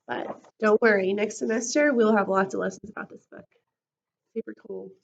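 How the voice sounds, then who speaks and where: A woman school counselor reading a picture book to children in an expressive, casual storytime style. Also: medium pitch, normal speed, gravelly, lo-fi sound, a little hiss or hum. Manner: animated, acts out the character lines. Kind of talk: expressive children's read-aloud with casual asides.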